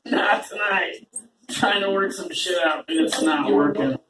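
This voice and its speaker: A man's voice: a little high, slow, over a noisy crowd. A man hosting an open mic and doing a rambling, self-deprecating stand-up set, laughing often. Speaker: open mic host and comedian. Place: small open mic venue with a talking audience. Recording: roomy audience recording from far off.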